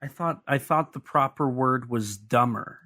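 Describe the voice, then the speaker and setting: A man's voice: medium pitch, measured pace, bright, forceful tone. A man with an ordinary mid-range voice, co-hosting a podcast and walking through a film scene by scene. He is chatty and analytical, with dry, lightly sarcastic asides. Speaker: podcast co-host. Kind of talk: casual film discussion.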